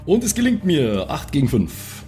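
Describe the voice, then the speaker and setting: A man's voice: a little high, wildly swooping pitch, moderate pace, dark tone. A man playing a tabletop role-playing game, talking casually and dropping in bits of English slang. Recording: clear guitar music behind the voice.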